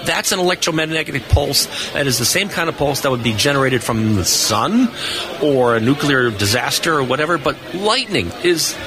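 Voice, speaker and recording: A man's voice: medium pitch, expressive, normal speed, a crowd in the background. An older man co-hosting a live radio show, telling jokey stories and explaining radio lore in a casual chat. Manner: jokey storyteller. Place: convention floor booth. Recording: noisy live convention floor.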